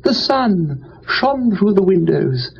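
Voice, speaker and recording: A man playing a foppish, cheerful, dim young English gentleman, telling a comic story in chatty old-fashioned upper-class slang. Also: sits high, sing-song, takes it slowly, gravelly, breathy edge, pitched above the performer's own voice, exaggerated voice. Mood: relieved. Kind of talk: dramatised first-person comic storytelling. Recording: dull lo-fi with faint noise.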